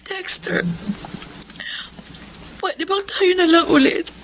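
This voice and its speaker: A woman with a Filipino accent acting a heartbroken young woman pleading haltingly in a romance drama. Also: extremely high pitch, a little hiss or hum, exaggerated voice. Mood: tearful pleading. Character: heartbroken young woman clinging to her lost love.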